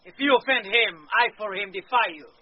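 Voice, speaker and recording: An actor playing a bold, fiercely loyal sea captain in radio Shakespeare, forceful and declamatory. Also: male voice, high voice, a little fast, no echo. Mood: defiant. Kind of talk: radio Shakespeare drama acting. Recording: muffled radio recording, faint noise.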